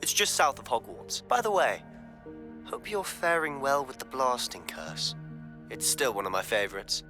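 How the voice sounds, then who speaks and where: A man's voice: mid-range voice, sing-song, moderate pace, music in the background. A man playing a friendly, earnest teenage student wizard in a video game. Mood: friendly, hopeful. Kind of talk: video game character acting.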